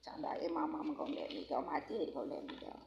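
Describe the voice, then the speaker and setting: A woman from Chicago in her forties, casually reviewing a book on a vlog, reading aloud haltingly and rambling with many ums. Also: low voice, gravelly. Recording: echoey room, muffled lo-fi with faint noise.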